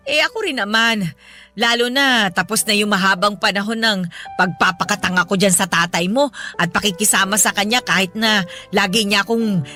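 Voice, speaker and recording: A woman's voice: medium pitch, pushed and bright, close to an everyday voice. An actress with a Filipino accent playing a proud, humble, hardworking mother in a radio drama, effusive and emotional. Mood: wistful, bitter.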